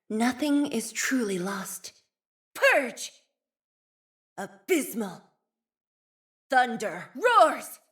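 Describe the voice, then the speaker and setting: Unhurried, soft-voiced, composed young scientist. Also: female voice, medium pitch. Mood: gracious, encouraging, then fierce, commanding. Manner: measured, formal, thoughtful phrasing. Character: composed, earnest woman scientist and leader, gently wistful. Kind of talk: video game character voice lines.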